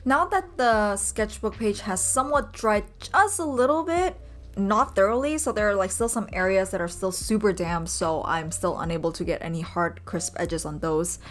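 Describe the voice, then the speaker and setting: A young woman, a native Indonesian speaker, narrating her sketching vlog in a soft, rambling way with many ums. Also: mid-range voice, normal speed. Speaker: vlogger and urban sketcher. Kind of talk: casual voice-over narration.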